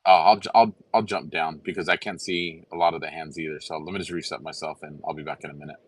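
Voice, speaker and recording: A man's voice: extremely low pitch, steady tone, quick pace, breathy edge. A man speaking thoughtfully on a live chat, with hesitant restarts. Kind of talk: casual chat.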